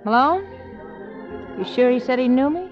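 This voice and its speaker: An actress playing a cool, guarded nightclub organist in a 1940s radio drama, aloof and dryly flirtatious. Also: female voice, sits high, animated, normal speed. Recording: dull old radio recording, organ music.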